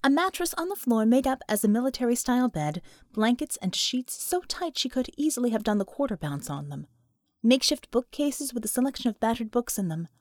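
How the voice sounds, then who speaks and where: Expressive woman narrating fiction, lively pitch. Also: brisk, no echo, clean studio sound. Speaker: audiobook narrator.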